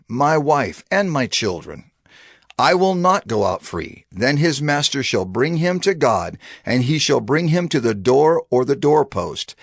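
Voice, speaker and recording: A man's voice: medium pitch, vocal fry, dry recording, clean studio sound, full character voice. A man playing Moses as a solemn prophet and lawgiver in a dramatized scripture audio drama, with emphatic, declarative delivery.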